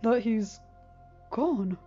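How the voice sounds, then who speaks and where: A woman's voice: a little high, animated, drawn-out words, dark tone, highs cut off, faint music behind. Woman doing an eager young stallion. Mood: stunned. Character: brave young male pony, takes the lead.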